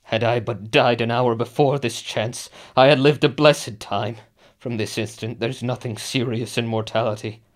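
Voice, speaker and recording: A man's voice: medium pitch, steady tone, normal speed, lightly acted. A man acting a brooding, murderous Scottish warlord in a Shakespearean radio drama. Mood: mournful.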